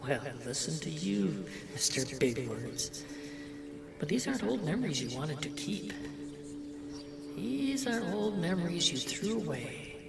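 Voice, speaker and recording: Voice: medium pitch, big pitch swings, a little slow, vocal fry. An actor playing a mocking, sneering voice inside an old man's head in an audio horror drama, needling and slipping into whispers. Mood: sly, menacing. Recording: roomy echo, distant, music behind.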